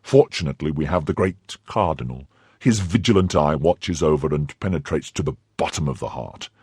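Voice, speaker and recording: A man voicing a pompous, cowardly middle-aged shopkeeper in his own low, husky voice. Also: animated, moderate pace, a little mellow, no echo, clean studio sound, full character voice. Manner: self-important, blustering. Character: pompous fifty-year-old mercer, cowardly and greedy.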